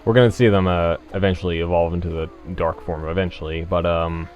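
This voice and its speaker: A man giving casual game commentary as a let's play host, explaining things in a hesitant, halting way. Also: sits low, steady tone, muffled recording. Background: game music.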